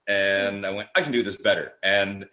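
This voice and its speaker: A man's voice: on the low side, steady tone, normal speed, pushed and bright. A man chatting casually as a podcast guest, talking in an enthusiastic, rambling way. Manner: enthusiastic, rambling, acts out little quotes. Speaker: podcast guest, horror theatre director. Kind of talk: casual chat. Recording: roomy, echoey sound.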